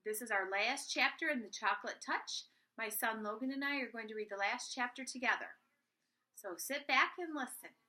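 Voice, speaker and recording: A woman's voice: a little high, moderate pace, bright, forceful tone. A middle-aged woman reading a children's story aloud. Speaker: storybook reader.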